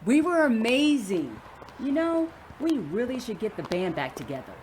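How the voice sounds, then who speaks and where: A woman's voice: on the high side, big pitch swings, moderate pace, noisy background. A woman playing a wry, enthusiastic older rocker in a conversational audio drama.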